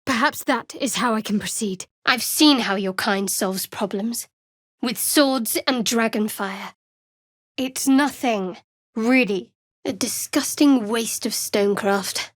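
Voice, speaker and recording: An actress playing a guarded, sardonic young cleric of a dark goddess in a fantasy game, with a dry, wry delivery. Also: female voice, fairly high pitch, takes it slowly, dry recording.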